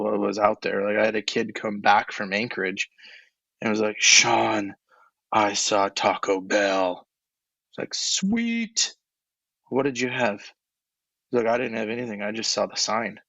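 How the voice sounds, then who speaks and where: A young man, an ed tech coach, answering interview questions casually in a monotone voice, with many fillers. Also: fairly low pitch, vocal fry, no room sound, lo-fi sound. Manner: relaxed, filler-heavy, laughs between thoughts.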